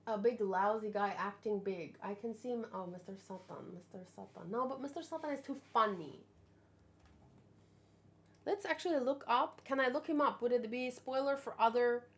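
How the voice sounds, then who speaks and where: Female streamer, high-pitched, animated, rambling, informal live chat. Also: normal speed. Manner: animated, exclamatory, rambling asides. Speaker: streamer hosting a manga read-along. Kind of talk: casual live chat, thinking aloud.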